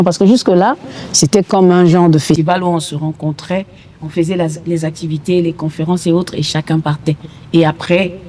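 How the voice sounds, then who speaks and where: Middle-aged female interview guest, Congolese French accent, dark mellow timbre, light background noise. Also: lowish voice. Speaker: gospel singer, interview guest. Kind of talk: unscripted interview talk.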